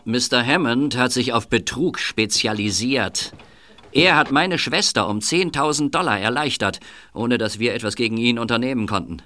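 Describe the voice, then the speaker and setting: A man's voice: mid-range voice, moderate pace, pushed and bright, dry recording, close to an everyday voice. A man in a radio play acting a composed, stubborn shopkeeper with a nonchalant, matter-of-fact manner. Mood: candid, composed. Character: composed, stubborn hardware dealer who posed as photographer.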